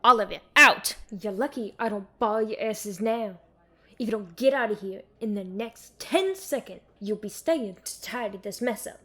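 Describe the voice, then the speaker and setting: A woman's voice: mid-range voice, normal speed, bright, forceful tone. A woman playing a tough, no-nonsense bar landlady in an audio roleplay, bossy and scolding. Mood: bossy, exasperated.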